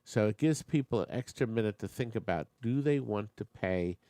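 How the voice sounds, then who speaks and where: A man's voice: lowish voice, animated, normal speed, mellow, no room sound. A man hosting a live online auction, chatting with bidders and making joking asides while losing his voice. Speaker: auctioneer and show host.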